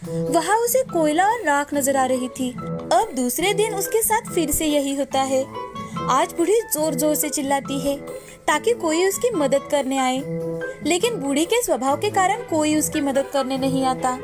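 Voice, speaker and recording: Woman narrating a scripted story, high pitched voice, music clearly playing behind. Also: lively intonation, a little slow.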